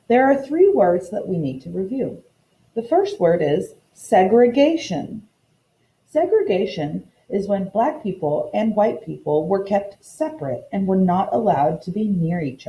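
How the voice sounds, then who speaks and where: Mellow, expressive woman teacher reading aloud. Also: mid-range voice, rough recording. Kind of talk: expressive storybook read-aloud lesson.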